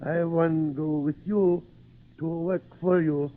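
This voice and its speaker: A man's voice: a little high, steady tone, slow. A man playing a humble, devoted islander servant in an old-time radio drama, speaking simple broken English with words dropped. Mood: gentle, hopeful. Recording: tinny narrow-band old radio recording.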